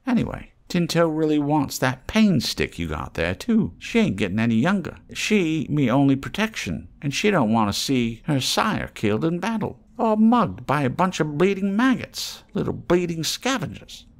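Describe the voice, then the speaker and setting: A man voicing an eccentric old tramp trader in an audiobook, wheedling in excitable, salty, rambling patter. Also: on the high side, moderate pace, hardly any pauses, pitched above the performer's own voice. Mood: indignant, excitable.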